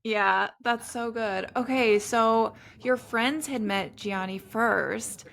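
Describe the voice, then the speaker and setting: A woman's voice: on the high side, slow, creaky, a bit bright. A woman hosting a podcast, bubbly and eager, moving from a polished guest introduction into casual, friendly interview chat. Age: adult, married. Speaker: podcast host.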